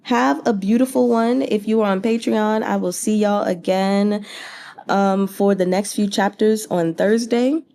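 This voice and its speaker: A woman's voice: mid-range voice, steady tone, measured pace, warm tone. A woman giving casual, rambling book commentary as a channel host, thinking aloud in an informal, chatty way with slangy asides.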